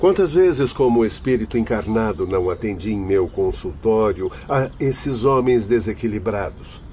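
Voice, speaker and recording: A man acting in a radio drama as a grave doctor spirit with a Brazilian accent, solemn and measured as he condemns human vice. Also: on the low side, animated, dark tone, lightly acted. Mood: reproachful, lamenting. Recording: muffled lo-fi audio with faint noise.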